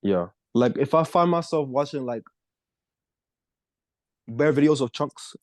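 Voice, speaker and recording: Young dude from the states rambling on a podcast, lots of "like", dry close mic. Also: male voice, medium pitch, lively intonation, a little slow, no echo. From United States. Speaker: podcast co-host. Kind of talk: casual chat.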